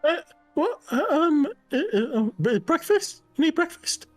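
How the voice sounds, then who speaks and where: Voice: sits high, animated, faint music behind, voice pushed up. A player voicing a hesitant young male companion who stammers and halts often. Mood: flustered. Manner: stammering, halting.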